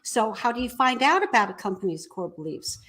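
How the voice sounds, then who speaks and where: A woman with a fairly high voice talks as a guest on a business show. She explains her service conversationally, as an entrepreneur would to a host. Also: very animated, a little fast, a bit bright.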